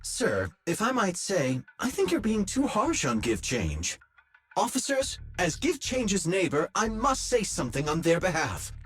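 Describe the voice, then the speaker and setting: Earnest, husky, wildly expressive neighbor. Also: male voice, high-pitched, unhurried, faint music behind, heavily acted. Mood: earnest, protesting. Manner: formal, earnest pleading. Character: earnest, polite neighbor defending an accused friend. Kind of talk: video game character acting.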